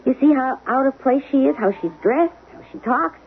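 A woman's voice: sits high, lively intonation, moderate pace, a little hiss or hum. An actress playing a wry, plain-spoken middle-aged wife and former showgirl in a radio drama. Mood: hurt, bitter. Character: frank, wounded middle-aged wife, former chorus girl. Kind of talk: 1970s radio drama acting. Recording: lo-fi phone-like old radio broadcast, music behind.

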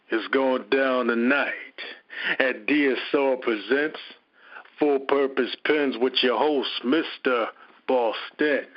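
A man DJ announcing the start of the show in an emphatic, drawn-out hype style. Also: mid-range voice, dull audio.

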